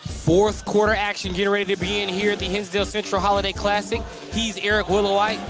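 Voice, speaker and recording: A high-pitched man calls a basketball game live as a radio announcer. He follows the action closely and gets excitable on big plays, with faint music behind him in the gym. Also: fast talker. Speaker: play-by-play announcer.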